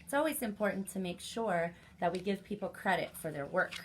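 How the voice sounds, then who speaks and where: A woman hosting a children's story time, reading aloud and chatting to young listeners directly, in an animated manner. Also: mid-range voice, brisk, a little hiss or hum.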